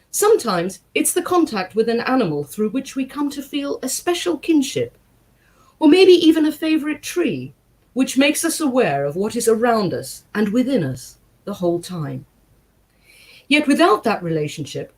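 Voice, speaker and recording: Expressive woman reading aloud, lively intonation. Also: a little high. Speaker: guest reader at a synagogue service. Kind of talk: expressive book reading with short spoken introduction.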